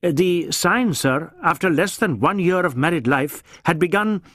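Man playing Indian police inspector, high voice, stammering, deferential, anxious to please, audiobook character, dry sound. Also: expressive, fairly bright tone, no echo. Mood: anxious, earnest. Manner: halting, stammering, repeatedly saying sir.

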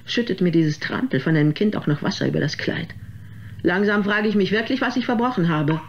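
An actress playing a vain, theatrical aging film star who complains haughtily and melodramatically in a radio play. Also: female voice, on the low side, brisk, lightly acted. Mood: indignant. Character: vain, theatrical, aging former film diva, easily outraged. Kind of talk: German radio drama acting. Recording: dull, noisy sound with ambience.

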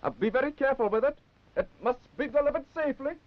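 An actor playing an officious, commanding supermarket manager in a 1960s children's puppet show, speaking briskly. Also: male voice, very high-pitched. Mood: brisk, bossy. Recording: dull 1960s television film soundtrack, music.

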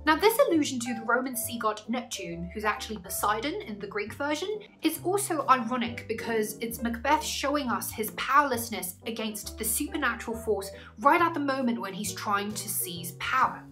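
A woman's voice: fairly high pitch, expressive, normal speed, few pauses, over music, poor audio. A woman in her twenties giving an articulate, scripted literature lesson to camera in a small room. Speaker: English literature tutor. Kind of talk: scripted educational explanation to camera. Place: small indoor room, plain wall.

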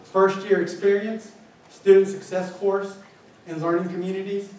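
A man's voice: sits high, moderate pace. Male administrator, forties, upbeat talk with audience questions; distant, roomy capture. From Philippines. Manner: energetic, paces and gestures, asks the audience questions. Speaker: college administrator presenting. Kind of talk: conference presentation. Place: small meeting room with windows. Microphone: far camera, no visible mic. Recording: echoey room picked up from far off.

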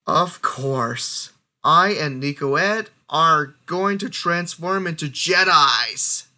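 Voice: medium pitch, animated, very slow, pushed and bright, exaggerated voice. A synthetic text-to-speech voice playing a playful cartoon Jedi master.

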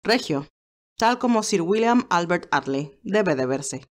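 A woman voicing a loyal, formal male aide to a gentleman in a romance story. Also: on the low side, expressive, measured pace. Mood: calm, reassuring.

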